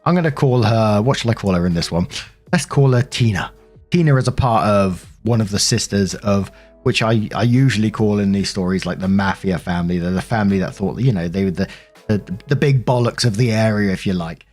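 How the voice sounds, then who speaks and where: British guy with a lowish voice reading out stories, chatty and informal, music playing behind him. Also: fairly quick, darkish tone. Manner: informal, colloquial. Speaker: host narrating online stories. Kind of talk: reading stories aloud with casual personal commentary.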